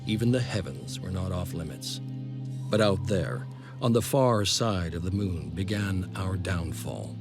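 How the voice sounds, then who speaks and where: An actor playing a solemn male chronicler, narrating a scripted video game cutscene with deliberate phrasing. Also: low voice. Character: solemn male chronicler of a fallen secret project. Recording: loud music behind the narration. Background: loud music.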